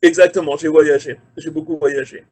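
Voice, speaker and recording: A man in his forties from France with a French accent, speaking as a career mentor on a video call from his factory office, relaxed and hesitant with many fillers. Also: a little high, moderate pace, breathy edge. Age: 40s. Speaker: career mentor, quality manager.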